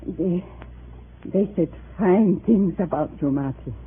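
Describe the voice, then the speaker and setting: Anxious old-time radio mom, plaintive broken phrasing, muffled 50s recording, little bit of hiss. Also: female voice, fairly low pitch, slow. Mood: wistful. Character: anxious, wounded immigrant mother of a grown son. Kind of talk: 1950s radio melodrama acting. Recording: muffled vintage radio recording, faint noise.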